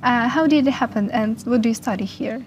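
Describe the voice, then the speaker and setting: A woman's voice: fairly high pitch, brisk, highs cut off, slight background noise. A woman hosting an interview program, asking careful, friendly questions in non-native English. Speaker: interview host.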